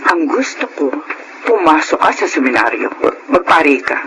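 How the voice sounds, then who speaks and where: A woman's voice: low voice, expressive, moderate pace, background noise. A woman playing a proud, domineering middle-aged widow in a Filipino radio drama, with an imperious manner and a Filipino accent. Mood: proud, insistent. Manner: imperious, English-sprinkled Tagalog. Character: Monica, proud domineering well-to-do widowed mother.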